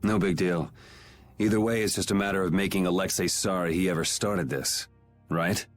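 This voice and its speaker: Man voicing a cool, low-voiced sardonic young adventurer. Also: fairly even intonation, normal speed, faint music behind, natural voice. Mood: terse, resolute. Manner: laconic, dry, casual. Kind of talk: voice acting in an English video game cutscene.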